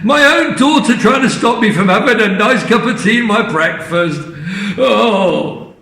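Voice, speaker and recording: Huge bossy granny played by a man, shrill and shouting, swooping high, in an echoey room. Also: lively intonation, a little slow, vocal fry, full character voice. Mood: demanding. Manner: shrill, shouting, whining complaints. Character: enormous grumpy old grandmother, bossy and demanding.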